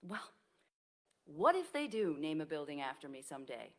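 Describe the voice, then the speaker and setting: A woman's voice: sits low, very animated, normal speed. A woman in a staged play reading, playing an aging nursing professor who tenderly and earnestly reassures her friend. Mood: wry, earnest.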